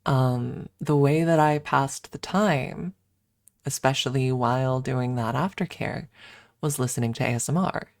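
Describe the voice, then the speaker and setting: A woman's voice: extremely low pitch, unhurried, no room sound. A woman streamer chatting casually on an ASMR stream, rambling and candid as she explains things, close to the microphone. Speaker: livestream host and ASMR streamer.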